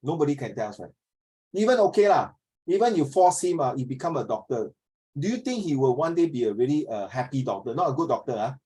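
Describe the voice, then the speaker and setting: Singaporean guy in his 50s teaching a class chat, repeats himself, keeps asking questions, medium pitch. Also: sing-song, fast talker, dark tone, husky, poor audio. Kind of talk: informal online class discussion.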